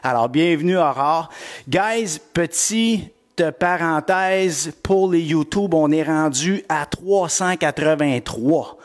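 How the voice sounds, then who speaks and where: A man's voice: fairly high pitch, slow. A middle-aged man with a Québécois accent, coaching a live-streamed workout class and greeting viewers by name with lots of energy. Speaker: fitness coach.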